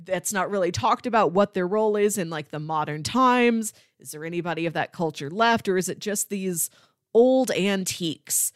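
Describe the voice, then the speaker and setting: A woman's voice: medium pitch, normal speed, no echo. A woman talks through a film as a podcast co-host in a mid-range voice. She is casual and thoughtful, leaning often on "like" as she works out her point. The sound is dry, with no room around it. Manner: thoughtful, conversational, frequent "like" filler.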